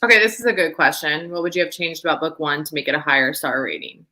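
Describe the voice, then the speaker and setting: A woman's voice: deep voice, expressive, fast, sharp tone. A woman hosting a casual book chat live show, repeating phrases for emphasis.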